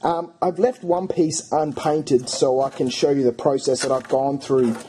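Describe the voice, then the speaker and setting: Croaky man with a cold, dark voice. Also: mid-range voice, fairly even intonation, unhurried, slight background noise. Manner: rambling, many fillers and restarts. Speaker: hobby channel host. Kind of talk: casual hobby vlog. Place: home hobby studio.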